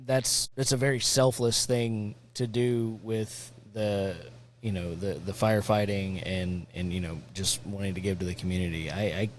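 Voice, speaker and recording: Encouraging podcast host, rambling. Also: male voice, fairly low pitch, flat delivery, moderate pace, a little hiss or hum. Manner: encouraging, rambling, restarts often. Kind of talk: casual conversation.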